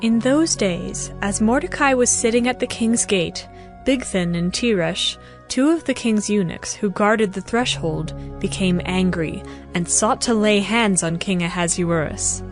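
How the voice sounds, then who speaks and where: Measured woman narrator over soft music. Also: mid-range voice. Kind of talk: scripted dramatized scripture narration.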